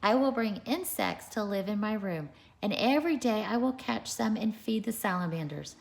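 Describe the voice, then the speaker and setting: A woman's voice: mid-range voice, animated, moderate pace, vocal fry, pitched above the performer's own voice, poor audio. A woman voicing an eager, imaginative, earnest little boy in a storybook reading. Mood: eager, dreamy. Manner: earnest, enthusiastic.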